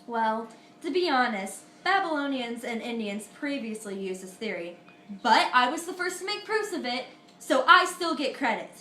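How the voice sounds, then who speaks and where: Teen girl doing old greek philosopher guy, high lively voice, echoey room. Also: slight background noise. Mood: earnest. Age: teenager. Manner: earnest, recited, a little halting. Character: ancient Greek philosopher Pythagoras, an older man, earnest. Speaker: student in a school history project. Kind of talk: amateur mock interview, reciting facts.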